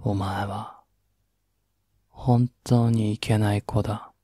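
An actor playing a domineering young man who scolds and gives orders to his lover in a slow, low murmur for a romantic audio drama. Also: level tone, warm tone, breathy edge, no echo, studio quality.

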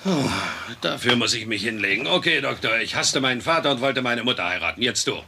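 A voice actor playing a gruff, flustered middle-aged husband and father in a dubbed sitcom, blustering and evasive. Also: mid-range voice, lively intonation, a little fast, noisy background. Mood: reluctant, embarrassed. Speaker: voice actor in a German sitcom dub.

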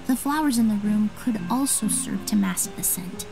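An actress voicing a composed young woman sleuth in a game, explaining things in a measured, precise way. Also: mid-range voice, gravelly, close to an everyday voice, low-quality recording. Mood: composed, explanatory. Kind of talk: scripted game dialogue. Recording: music behind the voice.

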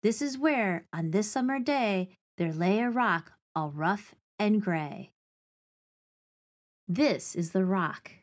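A woman in her forties reads a children's storybook aloud, speaking clearly and deliberately with an expressive storytelling delivery. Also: medium pitch. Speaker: teaching artist reading aloud to children.

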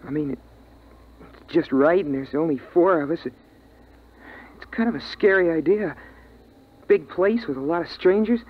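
A man's voice: on the high side, normal speed, long pauses, close to an everyday voice. An actor playing an earnest, hesitant boy in a survivor family, in 1950s radio drama style. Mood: wistful, uneasy. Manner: hesitant, wistful, plain-spoken. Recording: tinny 1950s radio recording with music.

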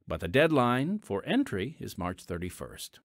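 Chatty male podcast host, lively pitch. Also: a little slow, dry recording, studio quality. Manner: friendly, chatty. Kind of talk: podcast host segment and announcements.

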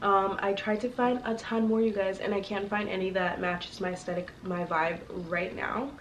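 A woman's voice: medium pitch, steady tone, moderate pace. A young woman with a congested, sick voice, chatting casually to her viewers as a fashion vlogger, rambling with lots of fillers. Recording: slightly distant mic with faint noise.

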